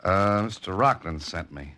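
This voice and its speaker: A man's voice: low voice, animated, normal speed, sharp tone. A man with an American accent acting a wary, probing investigator who narrates a 1940s-style radio mystery. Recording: old radio broadcast with music behind.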